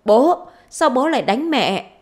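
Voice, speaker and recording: A woman voicing an indignant schoolgirl daughter in a story, protesting loudly in defence of her mother. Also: high-pitched, very animated, a little slow, pitched above the performer's own voice.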